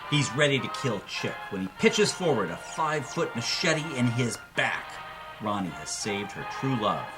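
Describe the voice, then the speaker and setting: A man's voice: medium pitch, very animated, moderate pace, music in the background, rough recording. A man narrating in a dramatic, scripted storytelling style, theatrical and suspenseful, as a co-host retelling a film.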